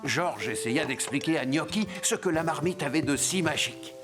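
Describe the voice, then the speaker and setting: A man narrating a cartoon in a gentle, even storytelling manner. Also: a little high, moderate pace, over music.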